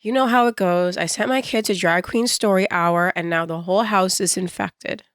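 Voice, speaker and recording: A hoarse woman with a strained voice, hosting a casual, wry video commentary with joking asides. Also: mid-range voice, moderate pace, no echo, clean studio sound. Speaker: video essay host.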